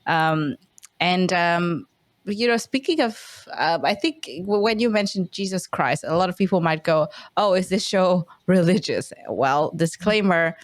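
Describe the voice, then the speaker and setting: Female podcast host, lower voice, friendly and measured, dry close sound. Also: lively intonation, dry recording. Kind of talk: casual interview conversation.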